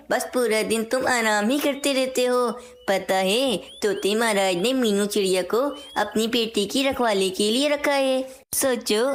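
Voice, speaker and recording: A woman plays a cartoon crow wife with a fairly high voice. She nags and grumbles enviously, over slight background noise. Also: close to an everyday voice. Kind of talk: Hindi cartoon voice acting.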